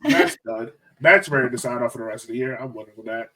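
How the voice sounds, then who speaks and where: A man's voice: medium pitch, lively intonation, fast, pushed and bright, distant mic. A man hosting a casual sports fan podcast, talking in an informal, slangy, joking way. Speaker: podcast host.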